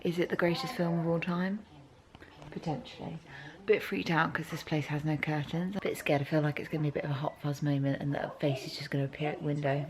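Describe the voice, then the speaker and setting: A woman's voice: low-pitched, steady tone, fast talker, a crowd in the background. A British woman talking casually to her camera, chatty and self-mocking. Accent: British English. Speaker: vlogger. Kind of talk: casual vlog chat to camera.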